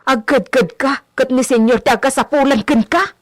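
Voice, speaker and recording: An actress with an Ilocano accent playing a gossipy, respectful and easily startled housemaid in a radio drama, full of asides and exclamations. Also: female voice, sits high, normal speed, no echo, studio quality, lightly acted. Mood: hurried.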